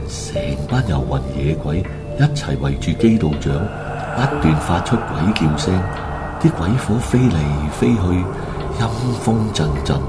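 A man with a Hong Kong Cantonese accent narrating a scripted radio drama story. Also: deep voice.

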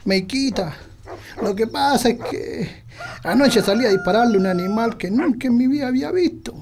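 Man acting a creaky old Chilean country farmer. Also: very high voice, lively intonation, normal speed, background noise. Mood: shaken, earnest. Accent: rural Chilean. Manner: folksy, colloquial country speech. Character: stubborn, boastful old country farmer, husband and father. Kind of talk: radio drama acting.

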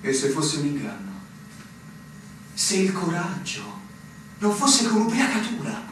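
An actor playing a brooding, solemn army officer in a theatrical radio drama, declamatory with dramatic pauses. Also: male voice, a little high, big pitch swings, fairly bright tone, slight background noise. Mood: solemn resolve. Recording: echoey, distant miking, music behind.

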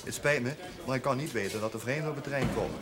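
An actor playing a defensive employee justifying himself in a naturalistic television drama. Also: male voice, medium pitch, racing, crowd noise, lightly acted. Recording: loud busy background on television soundtrack.

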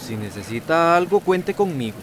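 An actor playing an earnest, supportive health worker, businesslike and respectful, in a radio soap opera. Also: male voice, fairly high pitch, big pitch swings, normal speed, a little hiss or hum.

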